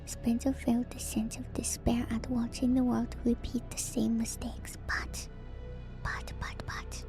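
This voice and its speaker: Female ASMR streamer, breathy whisper, very even and unhurried delivery, reading lore with gentle casual asides. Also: fairly high pitch, music in the background. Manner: gentle, unhurried, musing, repeats phrases softly. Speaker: streamer and host.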